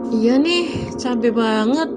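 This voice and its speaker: Woman as young mother, casual and talkative, bright-ish pitch. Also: slow pace. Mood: chatty. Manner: casual and chatty. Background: music.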